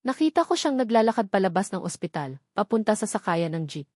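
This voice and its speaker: Woman narrator, earnest, emotional, mid-pitched, dry close recording. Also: big pitch swings, brisk, darkish tone, no room sound. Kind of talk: first-person dramatic story narration.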